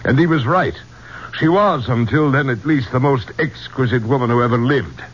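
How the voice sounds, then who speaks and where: A man's voice: medium pitch, moderate pace, slightly rough, lightly acted. An actor playing an elderly, courtly male archaeologist in a 1970s radio drama, speaking theatrically and rhapsodically. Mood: enraptured. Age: older. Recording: muffled, hissy old radio broadcast.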